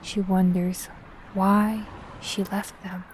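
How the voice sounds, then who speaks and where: A woman narrating a scripted audio drama in a measured, suspenseful storytelling manner. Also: medium pitch, level tone, a little mellow, a little hiss or hum, poor audio. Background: faint music.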